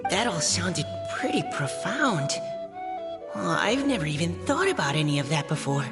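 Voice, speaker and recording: Timid boy scout, very lively pitch. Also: moderate pace, music in the background. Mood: awkward, earnest. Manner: hesitant, stammering, nervous. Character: timid, modest, earnest young boy soldier scout. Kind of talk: anime video game voice acting.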